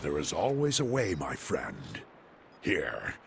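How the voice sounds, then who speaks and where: A man voicing a noble, calm male robot leader in a video game cutscene, speaking in a steady, formal, reassuring way with a deep, gravelly voice. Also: takes it slowly, very noisy, full character voice. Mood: resolute. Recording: game soundtrack music underneath.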